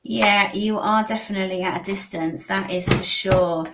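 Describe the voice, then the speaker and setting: A woman's voice: medium pitch, steady tone, moderate pace, very noisy. A woman hosting a live-stream tarot reading, chatty and reassuring, often repeating herself. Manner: chatty, repeats phrases, reassuring spiritual talk. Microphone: streaming setup.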